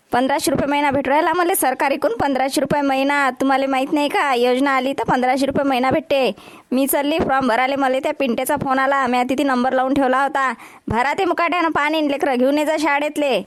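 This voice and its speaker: A woman's voice: sits high, dry recording, close to an everyday voice. A woman playing a bossy, scornful village wife in a comedy cartoon, scolding in a Vidarbha Marathi accent. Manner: scolding, ordering around.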